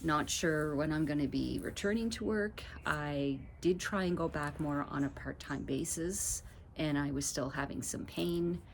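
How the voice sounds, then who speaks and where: A low-pitched woman in her fifties chats casually in a vlog, sounding slightly stuffy from allergies. She rambles and laughs between thoughts. Faint outdoor ambience sits behind her on the deck, picked up by the camera mic. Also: measured pace, creaky, a little hiss or hum. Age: 50s. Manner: chatty, rambling, laughs often. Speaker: vlogger. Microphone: camera mic, no lapel mic.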